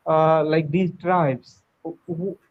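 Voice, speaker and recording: A man's voice: fairly high pitch, steady tone, takes it slowly. A man practicing English on a video call, searching for words with many fillers and restarts. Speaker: English learner. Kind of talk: casual video-call conversation. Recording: tinny phone-like line.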